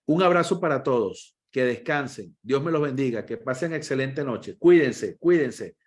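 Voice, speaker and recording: A man, a first aid instructor, closing an online class over a video call in a lively, encouraging way and repeating himself often. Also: medium pitch, moderate pace.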